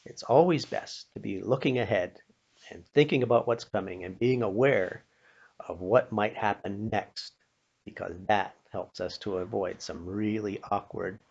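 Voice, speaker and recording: An older man with a North American accent and a gravelly voice, telling a personal story in an unhurried, plain-spoken, folksy way, as if passing on a lesson. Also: fairly low pitch, a little mellow. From Pennsylvania, United States. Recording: dull, muffled lo-fi recording.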